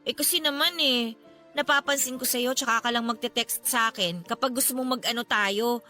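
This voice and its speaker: A woman's voice: on the high side, moderate pace, bright, forceful tone, faint music behind. An actress playing a clingy, desperate young Filipino woman who pleads emotionally with her lover in a radio drama. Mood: sulky, hurt.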